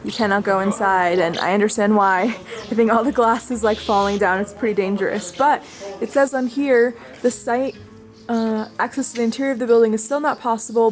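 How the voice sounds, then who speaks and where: A young woman from Bulgaria chatting casually as a travel vlogger, explaining the sites with lots of "like" fillers. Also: a little high. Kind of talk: casual vlog narration. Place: outdoors at monuments and sites. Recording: faint outdoor and vehicle noise.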